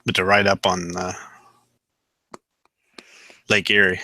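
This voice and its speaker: A man's voice: sits low, little pitch movement, takes it slowly, pushed and bright, no echo. A man from Ohio chatting casually, hesitant and full of ums and uhs. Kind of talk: casual group chat.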